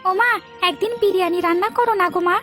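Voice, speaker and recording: An eager little brother from a village cartoon, played by a woman, with a very high voice. He sounds childlike and pleading. Soft music sits faintly behind him. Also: fast. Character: small, eager, hungry village boy, younger brother. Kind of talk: cartoon story dialogue.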